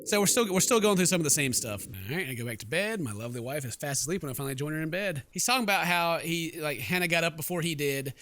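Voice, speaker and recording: Bright, forceful gamer guy. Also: on the high side, animated, fast talker, few pauses, slight background noise. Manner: jokey, rambling. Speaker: let's play streamer. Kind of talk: casual gameplay commentary with read-aloud narration.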